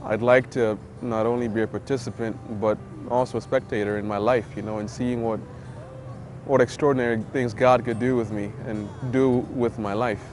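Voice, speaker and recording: A man's voice: mid-range voice, fairly even intonation, normal speed, music in the background. A man in recovery speaks reflectively and hopefully about his future. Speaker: program client. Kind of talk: testimonial interview.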